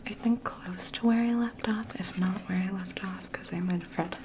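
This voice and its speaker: Woman doing whispered asmr poetry, very even tone, soft breathy and slow, bit of background noise. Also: fairly low pitch. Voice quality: breathy, mostly unvoiced whisper. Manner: slow, gentle, hushed. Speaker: ASMR host and poetry reader.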